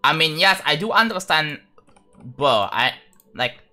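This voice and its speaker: Guy streaming and building a level, bright voice, keeps saying like and restarting. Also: on the high side, expressive, a little slow. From Indonesia. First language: Indonesian. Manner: rambling, full of "like" and restarts, talking to chat. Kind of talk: live-stream chat while building a game level.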